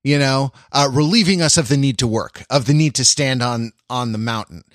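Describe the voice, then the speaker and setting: A man of medium pitch chats as a podcast panelist in a very dry recording. He feels his way haltingly through each idea, with a wry, teasing touch. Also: no room sound. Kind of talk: casual chat.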